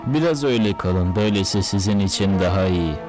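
A man's voice: sits low, expressive, fairly quick, over music. An actor playing a cool, resolute male cartoon hero with a wry, composed manner.

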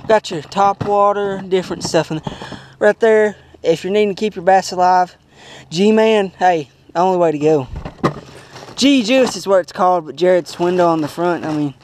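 Joking high-voiced man on a boat. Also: moderate pace, a little mellow, a little hiss or hum. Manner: playful, teasing, laughs often. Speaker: vlog host. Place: outdoors on a bass boat on a lake. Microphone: action camera.

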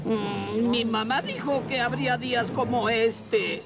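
A man's voice: very high-pitched, a little slow, vocal fry, exaggerated voice. A man voicing a scheming, irritable middle-aged wizard villain in a cartoon dub, theatrical, gloating and exasperated. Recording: music behind the voice.

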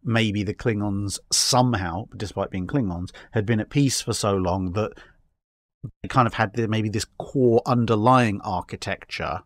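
Low-voiced man chatting wryly. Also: measured pace. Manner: discursive, wryly amused. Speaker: podcast guest answering fan questions. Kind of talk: casual chat.

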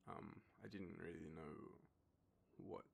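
A young Australian man acting an intimate boyfriend roleplay as a shy, nervous partner, speaking softly and haltingly into a close microphone. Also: low voice, fairly even intonation. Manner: hesitant, nervous, halting with breathy trailing phrases. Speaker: audio roleplay performer. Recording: faint background noise, ambient sound.

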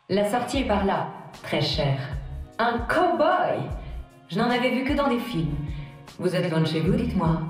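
A woman's voice: fairly high pitch, close to an everyday voice. Woman playing a coquettish robot chanteuse, heavily echoing. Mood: flirtatious, teasing. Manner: flirtatious, theatrical, elegant. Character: coquettish female robot cabaret singer. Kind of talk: video game voice lines. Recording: very echoey, music behind.

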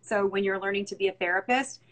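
A woman's voice: mid-range voice, fairly even intonation, fast. A middle-aged woman hosting a live video call interview, talking earnestly in long, rambling stretches full of fillers. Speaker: host. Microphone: video call on phone.

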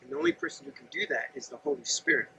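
A man's voice: very high voice, wildly swooping pitch, racing, creaky, noisy background. A man in his thirties preaching earnestly and conversationally outdoors, standing and gesturing while he talks to a camera set some distance away. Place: outdoors by a gravel lot and fence. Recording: distant outdoor mic with faint ambience.

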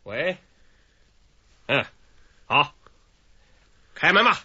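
A woman's voice: sits low, big pitch swings, extremely slow, sharp tone, slightly rough, exaggerated voice. An actress playing a domineering woman who runs a gang of child thieves, mocking and barking orders in a radio drama. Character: commanding female gang boss of child thieves. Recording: slightly dull broadcast audio with faint hiss.

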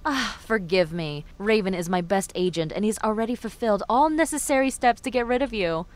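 A woman's voice: medium pitch, a little fast, natural voice. A woman playing a gloating, bitter villainess and crime boss in an audio drama, with a mocking, theatrical delivery. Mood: smug, taunting.

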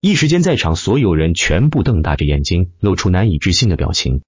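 Husky, animated male audiobook narrator. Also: low voice, fairly quick, dry recording, muffled recording. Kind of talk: dramatic scripted web-novel narration.

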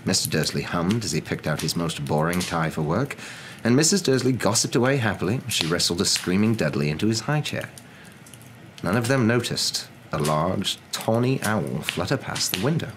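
Very deep-voiced young man reading a story. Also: normal speed, rough recording. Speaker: storybook narrator. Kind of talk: expressive audiobook-style reading for ASMR. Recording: clear steady background ambience noise.

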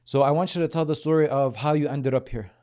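A young man hosting a podcast, asking calm, open questions and adding short affirmations. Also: a little high, quick pace. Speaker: podcast host. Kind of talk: casual interview hosting. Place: small podcast studio indoors. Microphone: boom-arm studio mic.

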